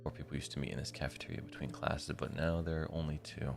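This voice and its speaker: An extremely low-voiced man with a heavy fry in his delivery, streaming a visual novel. He moves between narrating the text and chatting informally, with music playing behind him. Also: level tone, fast talker. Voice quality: deep, gravelly. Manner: slangy, informal asides. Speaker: live streamer. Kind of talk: reading a visual novel aloud with casual commentary.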